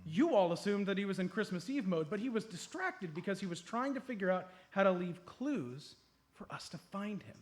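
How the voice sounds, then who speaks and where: Male actor as an eager amateur sleuth, high voice, swooping delivery, theatrical stage radio comedy. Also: very animated, moderate pace. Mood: smug explaining. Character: eager, self-assured male amateur detective saving Christmas. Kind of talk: live comedy radio play acting. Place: live on a comedy club stage.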